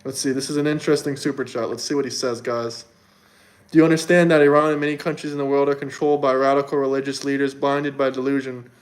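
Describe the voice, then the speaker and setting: A man's voice: mid-range voice, flat delivery, quick pace, rough recording. A young man from Florida hosting a casual livestream, chatting loosely and answering viewer comments, often repeating himself. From Florida, United States. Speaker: livestream host. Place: indoors in Montenegro.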